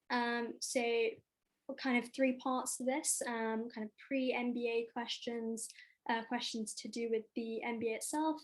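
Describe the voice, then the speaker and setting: A woman moderating a panel discussion over a video call, with many fillers. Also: a little high.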